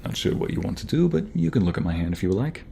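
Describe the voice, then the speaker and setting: A man acts a young mobster with a romantic, protective streak in an ASMR roleplay. The voice is low and intimate, with hesitant pauses, over faint background noise. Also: sing-song, creaky, dark tone. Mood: tender, teasing. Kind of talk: ASMR audio roleplay acting.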